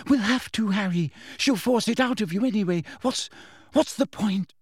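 A man's voice: high-pitched, normal speed, husky, no echo, studio quality, voice pushed up. A man voicing a clever teenage schoolgirl who pleads desperately. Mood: pleading.

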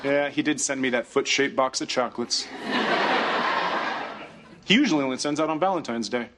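An actor in a sitcom, speaking in a sheepish, hesitant way. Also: male voice, medium pitch, moderate pace, lightly acted. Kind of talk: sitcom acting. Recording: noisy sitcom audio with laughter.

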